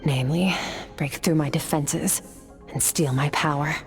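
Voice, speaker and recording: A woman's voice: low voice, measured pace, darkish tone, soft background music. An actress playing an aloof, battle-hardened swordswoman in a video game, composed and condescending in manner. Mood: cool, matter-of-fact. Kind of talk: scripted video game acting.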